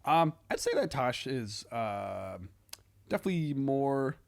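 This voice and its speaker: A man talking casually as a comedian guest on a podcast, halting and self-deprecating. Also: mid-range voice, very animated, vocal fry.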